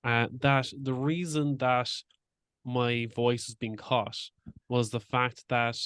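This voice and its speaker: A man's voice: mid-range voice, little pitch movement, takes it slowly, a bit bright, clean tone, no room sound. A man hosting a podcast, speaking openly and personally as he shares his own experiences at length. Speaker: podcast host. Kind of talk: casual interview talk.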